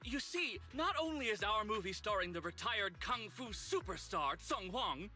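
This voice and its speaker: Game role: grandiose, excitable film director, very animated intonation, music behind. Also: male voice, sits high, moderate pace, exaggerated voice. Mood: eager, boastful. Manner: theatrical, grandiose. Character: excitable, hype-hungry male film director. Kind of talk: anime-style video game acting.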